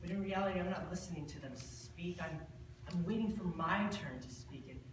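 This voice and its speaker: A young man playing a bitter, drunken, brooding self-styled monster in a theatrical monologue, speaking deliberately with dramatic pauses. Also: high-pitched, close to an everyday voice. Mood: brooding, wry. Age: teen or young adult. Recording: distant, noisy, very echoey school stage.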